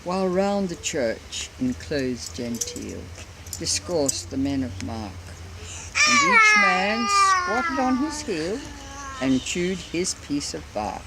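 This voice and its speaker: Through a very noisy amateur recording comes an older Australian woman reciting a bush ballad to family. She is theatrical and lilting, with very lively pitch. Her delivery is unhurried. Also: vocal fry. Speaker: family matriarch reciting a poem. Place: family Christmas gathering.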